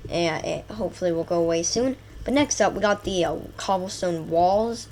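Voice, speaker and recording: Stuffy-nosed excitable woman gamer. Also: lowish voice, moderate pace, slight background noise. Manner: excitable, enthusiastic, rambling lists with fillers. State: stuffy from a cold. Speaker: gaming channel host. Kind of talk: casual gameplay commentary.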